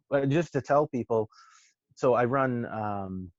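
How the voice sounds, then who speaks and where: A man's voice: mid-range voice, slow pace, no echo, muffled recording. A middle-aged man with a Massachusetts accent, talking casually as a podcast guest, rambling and swearing, with lots of restarts. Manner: rambling, profane, many restarts and fillers. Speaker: podcast guest, social media marketer. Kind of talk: casual chat.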